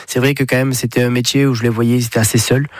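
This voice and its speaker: A man's voice: medium pitch, little pitch movement, fast, no room sound. A man in his thirties with a southern French accent, a business founder telling his story in a radio interview with frequent hesitations. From Pyrénées-Orientales, France. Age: 30s. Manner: hesitant, many fillers and restarts. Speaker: guest, espadrille brand founder. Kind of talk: casual interview.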